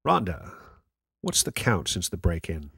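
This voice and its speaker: A sardonic late-night call-in host in a radio play. His voice is very low and husky, recorded dry and close, with wry, grandiose scepticism in the delivery. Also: a little slow, no echo, full character voice. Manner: wry, theatrical, deadpan sarcasm.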